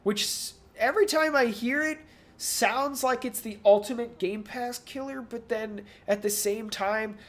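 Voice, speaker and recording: A man's voice: extremely high pitch, measured pace, fairly bright tone. A man co-hosting a casual talk show about video games, thinking aloud in rambling analysis and slipping into mock quotes. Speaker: podcast co-host. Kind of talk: casual video game industry discussion.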